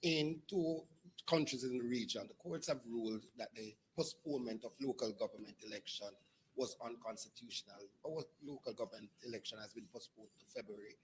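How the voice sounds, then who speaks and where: A man's voice: medium pitch, fairly quick, a little hiss or hum, low-quality recording. Direct male newspaper reporter. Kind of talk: press question.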